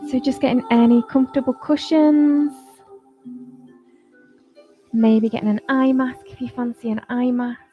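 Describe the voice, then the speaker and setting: A woman teaching yoga nidra, leading a guided relaxation in a soft, soothing, informal way. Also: high-pitched, fairly even intonation, a little slow, pauses often, highs cut off, faint music behind.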